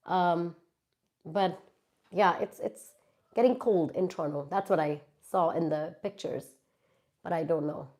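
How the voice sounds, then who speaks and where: A woman's voice: fairly low pitch, measured pace, long pauses, a little mellow. A woman from India, stuffy from a cold, chatting casually with viewers on a live stream from her phone. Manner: chatty, reads out viewer comments and answers them. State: congested from a cold. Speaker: YouTuber hosting a live Q&A.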